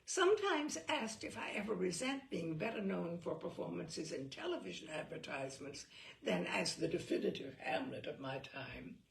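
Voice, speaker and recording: A woman reads humorous essays aloud with dry wit, her delivery rising and dipping in a very lively way. Her voice has a gravelly, creaky texture, and the recording sits in a roomy space with a faint hiss behind it. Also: on the low side, normal speed. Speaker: reader.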